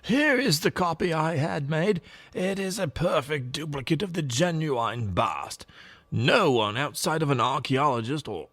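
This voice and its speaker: An actor playing a learned gentleman with precise, formal wording in a detective radio play. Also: a little high, dry recording, full character voice. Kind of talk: radio drama acting.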